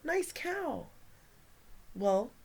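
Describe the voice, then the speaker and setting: A woman reading a picture book aloud as a teacher to young children, pausing for asides to her listeners. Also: high voice, big pitch swings, creaky, breathy edge.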